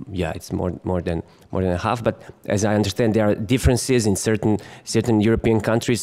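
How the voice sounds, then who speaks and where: Croatian-accented male physician, conference lecturer, lowish voice, hesitant delivery with many fillers. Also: darkish tone. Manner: hesitant, many fillers and restarts, jokes with the audience. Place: conference hall.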